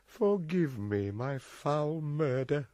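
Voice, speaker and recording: An actor playing a scheming, guilt-ridden usurper king in a Shakespearean radio drama, grave and declamatory in a husky voice. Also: on the high side, expressive, takes it slowly, darkish tone, no echo. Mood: anguished, guilty.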